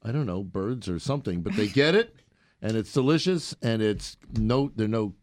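A man's voice: lowish voice, lively intonation, moderate pace. Bantering male radio host. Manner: joking, teasing. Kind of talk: casual bantering talk radio interview.

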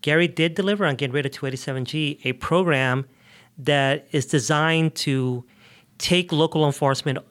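Earnest, slightly higher-voiced American man. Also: normal speed, fairly bright tone. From United States, grew up in New York and the South. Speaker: immigration justice advocate, interview guest. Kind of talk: conversational interview answers.

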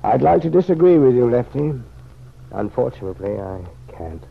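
An actor playing a grave, regretful man in an old-time radio serial, speaking in an earnest, measured way. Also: mid-range voice, big pitch swings, gravelly, breathy edge, heavily acted. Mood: discouraged. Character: grave, regretful man, troubled family friend. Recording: muffled, noisy old radio recording.

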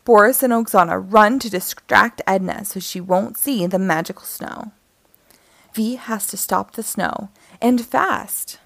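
A woman narrating a children's picture book aloud in an expressive, deliberate way. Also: medium pitch, creaky, no echo. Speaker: storyteller reading picture books aloud.

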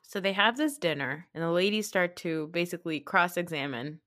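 A woman's voice: fairly low pitch, brisk, a bit bright. A woman co-hosting a podcast, recapping reality TV in a blunt, casual way and laughing often. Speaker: podcast co-host.

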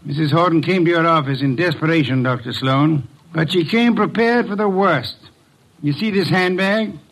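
Casting: an older, imperious genius detective for a 1950s radio mystery; fairly high, gravelly voice, formal and pompous. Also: male voice, normal speed, a bit bright, poor audio. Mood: commanding, deliberate. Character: imperious, eccentric genius male private detective. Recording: vintage radio drama recording.

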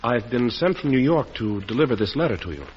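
An actor playing a polite, deferential young messenger in an old-time radio drama. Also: male voice, medium pitch, animated, fairly quick, close to an everyday voice. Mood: respectful. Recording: dull, noisy vintage radio recording.

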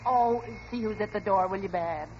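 A woman's voice: medium pitch, normal speed, lightly acted. An actress playing a practical, long-suffering wife and mother in a 1940s radio sitcom, coaxing and mildly scolding. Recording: dull, noisy old radio recording.

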